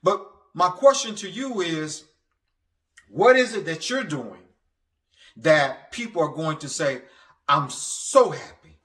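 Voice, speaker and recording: A man preaching a motivational sermon in a halting, stammering way, repeating phrases for emphasis. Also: on the high side, animated, fairly bright tone. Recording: echoey, reverberant room sound.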